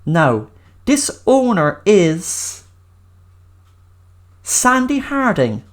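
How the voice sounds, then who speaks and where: Irish woman with lively, swooping intonation. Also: medium pitch, extremely slow. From Ireland. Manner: emphatic, repeats intensifiers. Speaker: podcast host. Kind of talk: solo podcast monologue.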